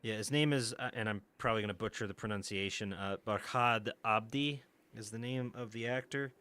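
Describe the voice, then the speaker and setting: A man's voice: lowish voice, brisk, bright, forceful tone. A man streaming a strategy game, chatting casually with a friend, laughing and swearing often. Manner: laughs a lot, swears, teasing banter. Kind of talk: casual gaming chat.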